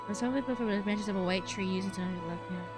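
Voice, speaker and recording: A woman's voice: medium pitch, very fast. A woman giving casual live game commentary, musing aloud about the story as she plays. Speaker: streamer playing a game live. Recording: game music behind. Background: game music.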